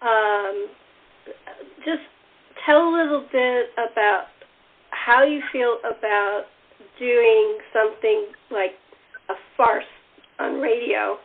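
A woman hosting a casual cast interview, speaking informally and haltingly as she rephrases her questions. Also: on the high side, a little hiss or hum. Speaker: interviewer and fellow cast member. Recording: tinny, phone-like narrow band.

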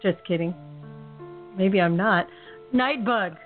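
A woman's voice: medium pitch, slow pace, faint music behind. Chatty Californian woman host on a tinny line. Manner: chatty, rambling, laughs often. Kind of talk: casual podcast chat. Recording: tinny phone-line audio.

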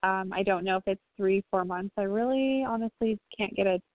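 A woman psychic medium giving a reading on a phone-in talk show, speaking gently and reassuringly with frequent pauses and ums. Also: medium pitch, no echo. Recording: tinny phone line, dry.

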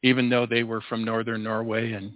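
Man in his sixties, interview guest, halting and rambling delivery, tinny phone line. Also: lowish voice, completely flat delivery, no echo. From United States. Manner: rambling, many restarts and fillers. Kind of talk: casual interview chat.

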